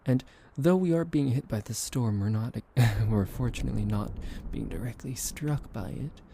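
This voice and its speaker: A young man in a soft ASMR roleplay, gently soothing and teasing the listener with soft laughs, hesitant fillers and the odd whispered aside. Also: medium pitch, expressive, normal speed, creaky, noisy background.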